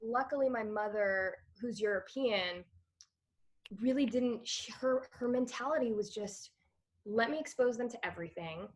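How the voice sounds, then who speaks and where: A woman's voice: fairly high pitch, moderate pace. A woman in her thirties from Montreal, a guest actress talking casually in an interview and telling stories with frequent laughs. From Canada, Montreal. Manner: chatty, laughs often, animated storytelling.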